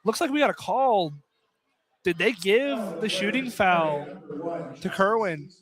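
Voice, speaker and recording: A young man with a notably high voice calls a college basketball game live on radio. His play-by-play is informal and conversational, with banter aimed at a co-commentator. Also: animated, unhurried, a crowd in the background. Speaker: play-by-play announcer. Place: college basketball field house, live broadcast.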